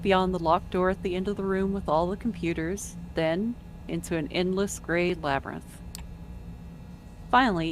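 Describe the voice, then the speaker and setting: A woman's voice: on the low side, slight background noise, poor audio. A woman narrating a visual novel as a scripted story.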